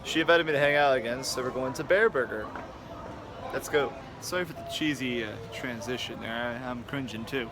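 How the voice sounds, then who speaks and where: A man's voice: mid-range voice, fairly quick. A man vlogging casually on a handheld camera, joking and laughing often as he talks. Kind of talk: casual vlog chat. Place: outdoors and in a busy burger restaurant. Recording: noisy crowd around.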